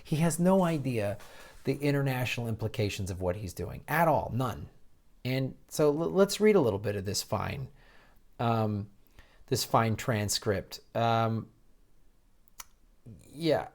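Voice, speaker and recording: An American man talks politics as a show host, off the cuff and exasperated. His voice sits at an ordinary middle pitch. He stumbles into false starts and repeats himself, with a mocking, incredulous edge as he comments. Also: expressive, measured pace, pauses often, a little mellow. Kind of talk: casual political commentary with read-out quotes.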